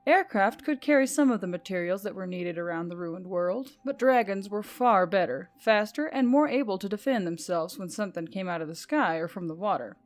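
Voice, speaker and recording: A woman's voice: mid-range voice, lively intonation, fairly quick, hardly any pauses. A woman reading scripted fiction as an audiobook narrator. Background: music.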